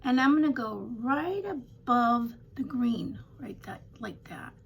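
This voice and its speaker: An older woman with a slightly raspy voice, chatting casually through a home makeup tutorial with rambling, self-deprecating asides. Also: a little high, lively intonation, takes it slowly, lo-fi sound. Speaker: beauty vlogger.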